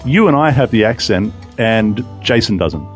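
A man with an Australian accent hosting a podcast, upbeat and animated as he reads out listener comments. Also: lowish voice, moderate pace, faint music behind. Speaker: podcast host. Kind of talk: casual chat.

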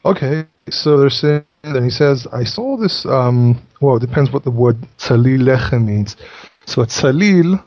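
A man's voice: medium pitch, lively intonation, a little slow, no echo, highs cut off. Man explaining scripture with many restarts. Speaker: Bible teacher explaining Hebrew terms. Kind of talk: casual Bible study discussion.

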